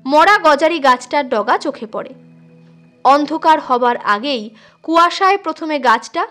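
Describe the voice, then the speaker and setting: A woman with a high, bright voice narrates a literary tale. She reads as a scripted audiobook storyteller, with music playing behind her.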